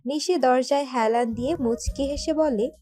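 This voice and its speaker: A woman's voice: high voice, moderate pace, mellow. A woman reading scripted narration for a romantic story. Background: background music.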